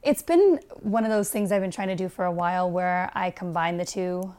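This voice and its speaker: A woman's voice: lowish voice, normal speed, dark tone. A young adult woman from the United States, a stand-up comedian guest chatting casually and laughing often at herself in an interview.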